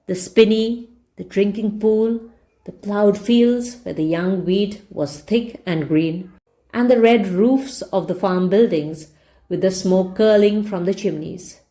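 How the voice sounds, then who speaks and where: A woman's voice: lowish voice, warm tone. A woman narrating a story like a read-along English teacher, explaining as she reads. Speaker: reader and English teacher explaining word meanings. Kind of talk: audiobook-style narration with word explanations.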